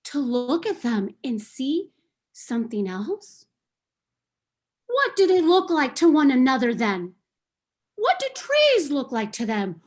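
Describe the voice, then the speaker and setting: A woman voicing a proud, sorrowful female unicorn in a table read of a stage play, speaking in a slow, earnest, questioning way. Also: high-pitched, big pitch swings, full character voice. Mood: bewildered.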